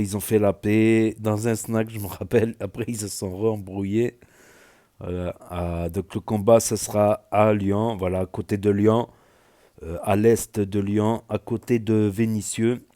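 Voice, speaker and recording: Man, southwestern French accent, low voice, mellow tone, rambling casual commentary, lots of fillers. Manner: rambling, filler-heavy, many "voilà" and "euh". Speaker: YouTube news commentator.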